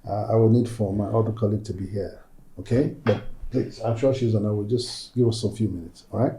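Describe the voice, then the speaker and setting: An actor playing a polite, hesitant hospital doctor who keeps patients calm. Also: male voice, on the low side, fairly quick, noisy background, close to an everyday voice. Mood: soothing. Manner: soothing, hesitant with many uhs. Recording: echoey room.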